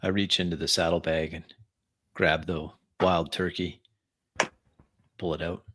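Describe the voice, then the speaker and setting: A man playing a tabletop roleplaying game, narrating his actions and speaking in character in an unhurried, deliberate way. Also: low-pitched, level tone, fairly bright tone, slight background noise. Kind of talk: improvised in-character roleplay and first-person narration.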